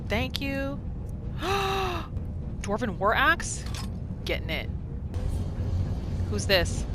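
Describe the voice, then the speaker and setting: A woman giving casual, animated commentary as she plays a video game, often talking to the characters. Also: sits high. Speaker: gaming video host. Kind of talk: casual game playthrough commentary with journal read-alouds. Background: game music.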